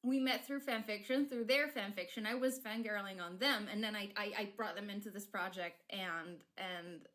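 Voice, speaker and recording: A woman's voice: mid-range voice, moderate pace, a bit bright. A woman whose first language is Polish, chatting casually on a live stream with many fillers and restarts. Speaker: streamer and fanfic writer.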